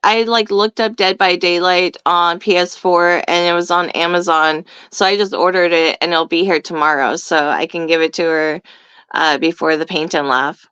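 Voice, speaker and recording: A middle-aged woman with a smooth, clear voice who chats and tells stories easily. The recording sounds slightly dull, as if low quality. It is also dry, with no room sound. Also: steady tone, normal speed, a bit bright, dry recording. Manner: chatty storyteller, laughs easily. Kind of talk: casual chat.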